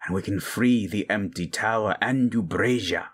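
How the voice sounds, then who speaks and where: A man's voice: fairly low pitch, normal speed, a bit bright. A man playing a grave, ancient lich who speaks in solemn, formal phrases in a fantasy audio roleplay. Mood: grave, intent. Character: grave ancient male lich, stern and scheming mentor.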